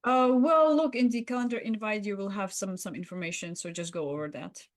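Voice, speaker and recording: A woman's voice: mid-range voice, brisk. A woman co-hosting a conversational podcast, speaking in an encouraging, affirming way. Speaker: podcast co-host. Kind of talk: roleplay and conversational debrief.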